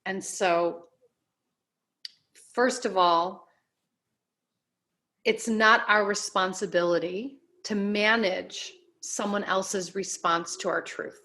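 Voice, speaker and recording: A woman being interviewed as a life coach, giving advice in deliberate, emphatic phrases with measured repetition. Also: mid-range voice, fairly even intonation, bright, forceful tone.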